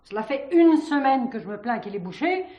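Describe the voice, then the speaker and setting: An actress playing a haughty, complaining general's daughter with a cutting manner, in a French 1970s stage thriller. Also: female voice, on the high side, big pitch swings, fairly quick, muffled recording. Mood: spiteful, complaining. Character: snobbish, querulous unmarried general's daughter, easily outraged. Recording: roomy, echoing stage sound.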